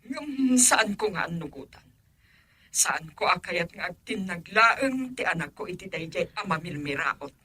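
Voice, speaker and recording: A woman's voice: fairly low pitch, lively intonation, measured pace, pushed and bright, husky, heavily acted. An actress playing a stern, status-proud middle-aged mother in an Ilocano radio drama. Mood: scornful.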